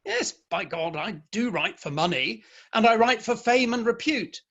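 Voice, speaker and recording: Guy acting stern proud victorian dad, kinda high voice, formal and emphatic, stage play style. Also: expressive, moderate pace, a bit bright, highs cut off, exaggerated voice. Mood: indignant, blustering. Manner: emphatic, formal, opinionated speech. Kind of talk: dramatic play reading.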